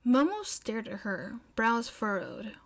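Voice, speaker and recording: Slow, expressive woman narrating a story. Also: on the high side. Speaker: podfic narrator.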